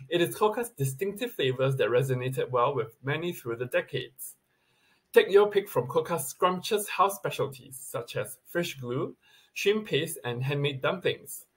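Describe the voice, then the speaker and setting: A man's voice: a little high, slightly rough. Man reading a scripted countdown. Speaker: video presenter. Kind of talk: scripted list narration.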